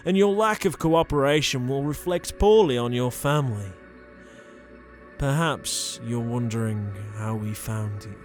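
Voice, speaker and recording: Man playing a slow, pompous, menacing holy inquisitor. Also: mid-range voice, natural voice. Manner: pompous, deliberate, formal. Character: zealous male holy inquisitor, menacing and smug. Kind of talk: dramatic audio roleplay acting.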